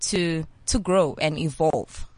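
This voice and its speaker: A woman hosting an interview, asking questions with repeated starts and giving steady murmured agreement. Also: sits low, slow pace, no room sound. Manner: affirming murmurs, repeats words when asking.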